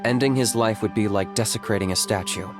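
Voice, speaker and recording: A man voicing a stoic young warrior in a video game, calm and deadpan, always blunt and practical. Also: lowish voice, fairly quick, over music, natural voice.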